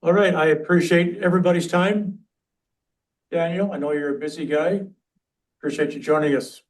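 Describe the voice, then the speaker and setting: A man's voice: fairly high pitch, moderate pace, low-quality recording. A man speaking informally as he leads a committee meeting in a council room. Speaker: committee member leading the meeting.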